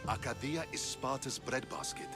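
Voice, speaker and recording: An actor playing a measured, principled Spartan soldier in a video game, reasoning carefully. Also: male voice, medium pitch, music in the background, close to an everyday voice. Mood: grave, measured. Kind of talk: dramatic video game acting.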